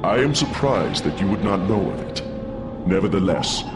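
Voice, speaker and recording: A very deep-voiced thunder god speaks over loud music in a video game cutscene. He is grave and authoritative, measured and formal in every phrase. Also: lively intonation.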